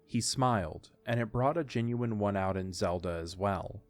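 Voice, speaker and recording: Male narrator, lowish pitch, scripted storytelling, faint music behind. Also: normal speed.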